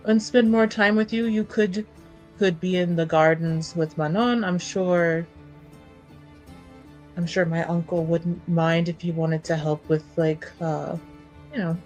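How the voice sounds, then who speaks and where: A woman playing a tabletop game, improvising in-character conversation with hesitant, gentle suggestions. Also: on the low side. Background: soft background music.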